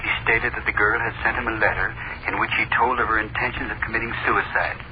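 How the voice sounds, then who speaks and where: A brisk, matter-of-fact newsreader, played by a man reads in a fairly low voice. The sound is muffled and lo-fi, as on a 1930s radio broadcast, with clear hiss underneath. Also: fairly even intonation, natural voice. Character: male newsreader reading a court report. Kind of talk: 1930s radio drama acting.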